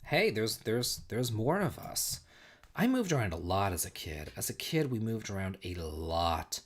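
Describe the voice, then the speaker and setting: Tipsy man rambling, lively pitch. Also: unhurried. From United States. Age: 40s. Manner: rambling, repeats himself, reads chat aloud. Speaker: livestream host. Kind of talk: casual livestream chat and storytelling.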